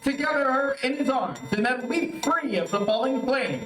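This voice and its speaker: A man's voice: very high voice, animated, normal speed, over music. A man hosting in a theatrical old-time radio announcer style, formal and declamatory. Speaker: host and announcer. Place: theater stage. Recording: echoey theater, distant mic, live stream.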